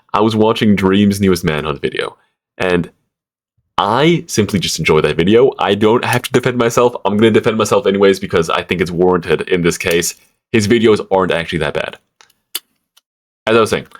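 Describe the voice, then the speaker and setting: A young man from Switzerland ranting casually to camera, rambling with stammered restarts, lots of fillers and swearing. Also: fairly low pitch. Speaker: vlogger.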